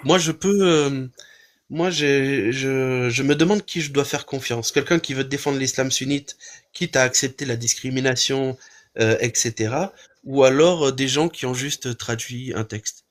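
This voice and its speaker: A man of ordinary pitch talks through his point on a live stream. He pauses and restarts often but stays calm and even. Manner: hesitant, many restarts, calm arguing. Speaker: livestream host. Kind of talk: casual debate on a live stream.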